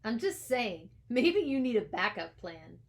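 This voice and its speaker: A woman's voice: a little high, sing-song, a little slow, pitched above the performer's own voice. Smirking middle-grade bully boy, acted by a woman, storybook: snide, sneering, doubtful. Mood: smug, doubting.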